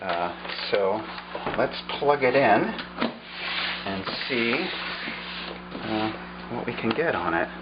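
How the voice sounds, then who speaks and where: A man casually showing off and explaining an old radio, unhurried and thinking aloud with fillers. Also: on the low side, expressive, highs cut off, heavy background noise. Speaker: vlogger showing a vintage radio. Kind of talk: casual show-and-tell demonstration.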